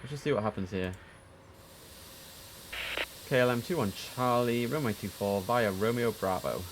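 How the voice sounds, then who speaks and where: A man's voice: mid-range voice, moderate pace. A man livestreaming as an air traffic controller, giving clipped formal radio instructions and muttering casual commentary to himself in between.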